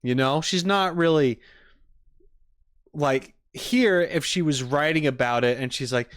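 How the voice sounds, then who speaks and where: Slow-talking man with a clear voice. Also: fairly high pitch, a bit bright, no echo, clean studio sound. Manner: informal, thinking aloud. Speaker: streamer. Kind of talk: casual reaction commentary to chat.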